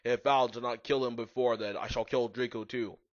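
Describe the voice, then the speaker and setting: A man voicing an evil, menacing dark wizard villain with a raspy voice and archaic speech, in a theatrical story reading. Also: medium pitch, steady tone, normal speed, no room sound, muffled recording, close to an everyday voice. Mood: cold threatening. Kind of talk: theatrical fan-fiction reading.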